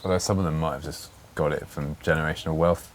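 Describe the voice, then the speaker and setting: A man hosting a casual conversational interview outdoors, feeling his way through questions in halting, repeated phrases. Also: rumbling low, fairly even intonation. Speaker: podcast host interviewing a stranger. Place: outdoors on a park bench. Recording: faint outdoor ambient noise.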